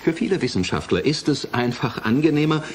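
A man in a German radio play acting a university president who explains things in a measured, deliberate way. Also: fairly high pitch, animated, slight background noise, lightly acted. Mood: explanatory. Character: measured, authoritative university president defending his project.

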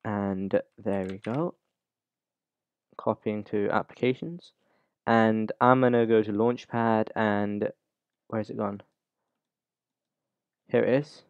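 A man hosting a casual, unscripted software tutorial, talking through the steps informally as he goes. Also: on the low side, fairly even intonation, slow, pauses often, clear, resonant tone, no room sound, narrow, tinny audio. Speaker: YouTube tutorial host.

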